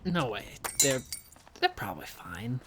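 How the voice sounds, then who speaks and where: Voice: mid-range voice, big pitch swings, a little slow, noisy background. An actor in a fiction podcast playing an awkward, evasive small-town local who is hesitant but eager to help. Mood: nervous, helpful.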